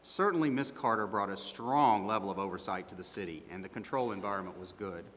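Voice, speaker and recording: A man's voice: lowish voice, quick pace, a bit bright, lightly acted. A man playing a defensive auditor at a hearing in an amateur stage dramatization, speaking formally and a little hesitantly. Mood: measured, defensive. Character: defensive male auditor questioned at disciplinary hearing. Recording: echoey hall, long reverb.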